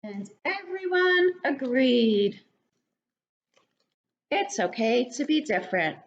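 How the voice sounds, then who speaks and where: An older woman reading a picture book aloud to young children in an animated, child-directed way. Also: sits high, slow pace. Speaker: children's story reader. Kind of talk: read-aloud picture book narration for preschoolers. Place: living room at home. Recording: dull, lo-fi webcam sound.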